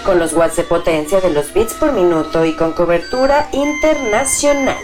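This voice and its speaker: A woman voicing a scripted radio station promo with a rapid, punchy delivery. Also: fairly low pitch, animated. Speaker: station announcer. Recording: clear music bed.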